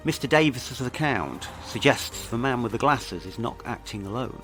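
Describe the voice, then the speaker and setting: A man's voice: mid-range voice, expressive, moderate pace, a bit bright. A man presenting a scripted investigative mystery podcast, narrating in a serious, ominous manner. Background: faint music.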